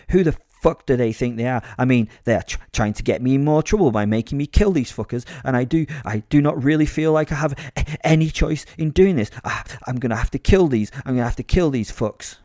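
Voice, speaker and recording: Man playing a gravelly, sadistic British werewolf killer. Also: medium pitch, a little mellow, dry recording, studio quality, lightly acted. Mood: agitated, indignant. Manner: sweary, darkly comic, savouring gore. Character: gleefully sadistic male werewolf serial killer, sardonic and unhinged. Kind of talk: first-person horror audio drama narration.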